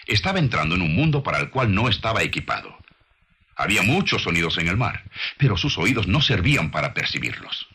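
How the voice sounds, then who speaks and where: A man reads scripted narration in a low, husky voice with a bright, forceful edge. He is deliberate and didactic, shaping his lines like a sermon and leaning on rhetorical emphasis. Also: very animated. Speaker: documentary narrator and preacher.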